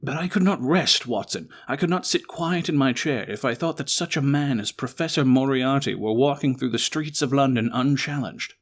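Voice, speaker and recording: A man's voice: medium pitch, normal speed, hardly any pauses, lightly acted. A man playing a brilliant, weary but resolute Victorian detective in a one-man audio drama, articulate, formal and emphatic. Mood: intense, emphatic.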